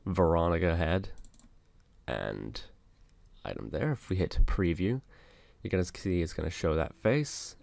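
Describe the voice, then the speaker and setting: A man narrating a casual software tutorial, explaining things step by step as an instructor. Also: sits low, vocal fry, no room sound.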